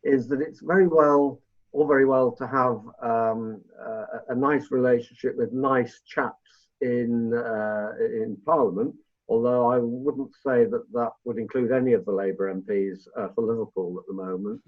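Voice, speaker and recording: Over a narrow, phone-like connection, a man co-hosts a political discussion. His mid-pitched voice works through long, opinionated questions in a conversational way. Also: fairly even intonation, normal speed. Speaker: podcast co-host. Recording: tinny narrow phone-like line.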